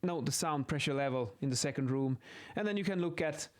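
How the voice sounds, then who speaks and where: A man's voice: a little high, brisk. A man giving an informal technical lesson, thinking aloud and correcting himself as he explains. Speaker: acoustics instructor explaining measurement methods. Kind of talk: informal explanatory lesson, improvised while sketching.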